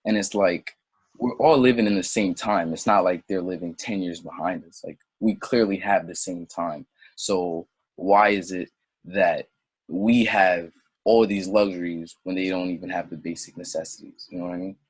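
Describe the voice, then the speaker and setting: A man's voice: low voice, normal speed, husky, no room sound. A young man raised in Senegal, a native French speaker, rambling and doubting himself as an interview guest, then drifting into impassioned digressions. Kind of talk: casual interview conversation.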